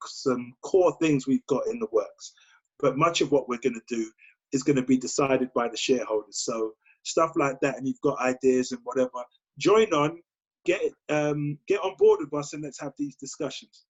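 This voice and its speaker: A man presenting a webinar and answering questions informally and encouragingly. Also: medium pitch. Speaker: presenter and shareholder. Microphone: video call. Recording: dull lo-fi video call audio.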